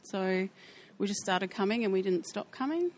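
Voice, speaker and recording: A woman with a New Zealand accent sharing her life story in a church interview, speaking softly and hesitantly with frequent pauses. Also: mid-range voice, expressive, slight background noise. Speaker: church member sharing testimony. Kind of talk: personal testimony interview.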